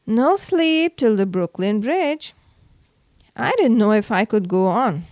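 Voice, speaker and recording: A woman reading a children's story aloud in an expressive, theatrical way. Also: on the high side, slow, dry recording, studio quality. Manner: theatrical, acts out the exclamations. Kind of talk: expressive read-aloud narration for kids.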